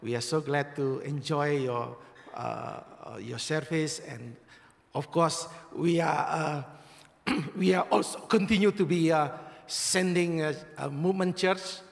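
Slow, halting man with an Indonesian accent. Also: fairly high pitch, creaky. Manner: halting, many fillers and restarts. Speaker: visiting church president. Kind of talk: guest greeting to a congregation. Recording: reverberant hall with crowd.

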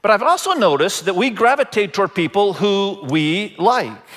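A man from Indonesia preaching as a pastor, deliberate and repeating phrases for emphasis. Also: high-pitched, sing-song, a bit bright. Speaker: pastor preaching to a church congregation. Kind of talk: sermon.

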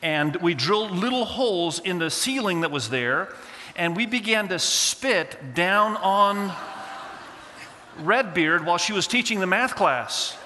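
A man's voice: high-pitched, a little slow, in a large hall, a little hiss or hum. A man who grew up in Indonesia, with Indonesian as his first language, telling a funny story from his school days as part of a sermon. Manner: animated, humorous storytelling. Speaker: pastor.

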